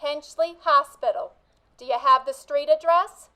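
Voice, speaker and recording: A woman's voice: sits high, measured pace, sharp tone. A woman playing a formal telephone information operator in a radio play, clipped, polite and formulaic. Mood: businesslike.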